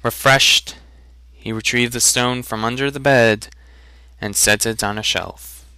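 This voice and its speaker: A man giving a deliberate, theatrical reading of a fantasy story as a narrator. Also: mid-range voice, fairly even intonation, pushed and bright, smooth, no echo. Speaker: reader of a fantasy novel. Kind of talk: dramatic audiobook reading with joking asides.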